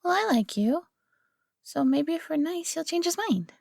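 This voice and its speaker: A woman playing a friendly, eager young female fox companion in an audio roleplay, chatty, upbeat and expressive. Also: high voice, moderate pace, creaky, dry recording, clean studio sound. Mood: curious, hopeful. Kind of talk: audio roleplay acting addressed to the listener.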